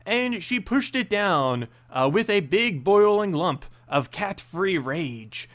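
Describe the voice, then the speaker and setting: Slow, lively high-pitched male storyteller. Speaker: narrator reading game lore aloud. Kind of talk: scripted story narration.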